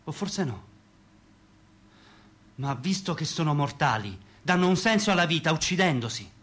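Man acting a higher-pitched mocking, domineering boss. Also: big pitch swings, normal speed, fairly bright tone. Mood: musing, lofty. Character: mocking, domineering man lording it over workers. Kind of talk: stage-style radio theatre acting.

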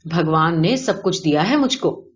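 A woman's voice: on the low side, expressive, natural voice. A woman reading the lines of a boastful, self-satisfied middle-aged businessman with a callous streak in an audiobook. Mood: smug, boastful. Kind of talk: character dialogue in audiobook reading. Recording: dull, lo-fi sound.

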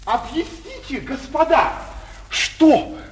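An actor playing a naive, simple-minded provincial postmaster, earnest and excitable, in 1940s radio comedy style. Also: male voice, extremely high pitch, slow, slight background noise, full character voice. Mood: naive, curious.

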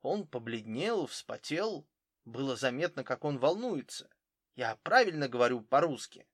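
A man's voice: mid-range voice, sing-song, a little slow, no room sound, studio quality. A man voicing a careful, literal German footballer in his thirties, giving witness answers in an audiobook. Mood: careful, cooperative. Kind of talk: audiobook character dialogue.

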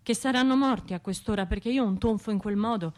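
Radio play monologue: actress as haunted female survivor, medium pitch, self-blaming, obsessive broken phrasing. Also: quick pace, close to an everyday voice. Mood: despairing, guilty. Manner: fragmented, repetitive, obsessive delivery with hushed and surging phrases. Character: traumatised Italian woman survivor, guilt-ridden, self-reproaching, haunted.

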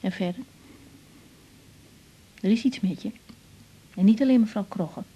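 An actress with a Netherlands Dutch accent playing a caring, chatty young doctor in a radio drama, conciliatory and coaxing in manner. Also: female voice, mid-range voice, unhurried, warm tone, slightly rough, muffled recording. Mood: concerned. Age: young adult.

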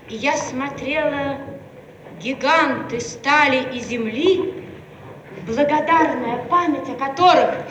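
A solemn woman messenger from a radiant communist future. Her voice is very high and she declaims slowly, like an orator in a Soviet radio play. The archival recording is echoey. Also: slight background noise. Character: phosphorescent woman, exalted envoy from the communist future.

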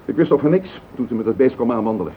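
An actor playing a callous, scheming murderer in a radio play, blunt and cynical, with a gravelly voice. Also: male voice, medium pitch, animated, racing, lightly acted. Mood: defiant. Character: callous scheming wife-murderer confessing without remorse. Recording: tinny, noisy old radio play recording.

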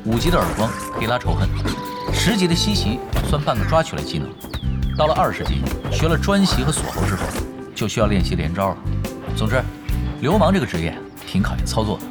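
An actor playing an easygoing young male expert gamer in an audio drama, talking in a casual, gently teasing way. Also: medium pitch, huge pitch swings, fast talker, loud music behind the voice. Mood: patient, explaining. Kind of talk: Chinese audio drama acting.

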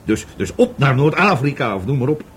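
An actor playing an evasive, volatile middle-aged businessman questioned by police in a radio play, husky, stammering and repeating his words. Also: medium pitch, very animated, normal speed, a little hiss or hum, close to an everyday voice. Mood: boastful. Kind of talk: Dutch radio play acting.

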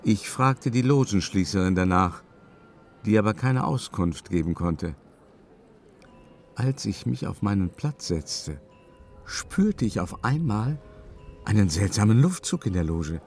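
A haughty, uneasy gentleman narrates in a lowish voice for a radio play. His delivery is measured and suspenseful, with faint music behind him. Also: expressive. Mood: puzzled. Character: shaken male opera-goer recounting a haunting, haughty, uneasy. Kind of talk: dramatic first-person radio drama narration.

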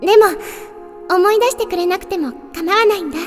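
An actress playing a sweet, devoted and bashful teenage girl in an anime audio drama, gentle and earnest. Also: extremely high pitch, brisk. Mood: wistful, tender. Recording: music behind the voice.

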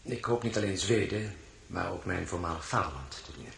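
A man's voice: low voice, a little fast, fairly bright tone. A man acting in a radio drama as a grave, dignified French marshal, formal and restrained in manner. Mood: formal, resolute. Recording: roomy, clearly noisy, somewhat distant.

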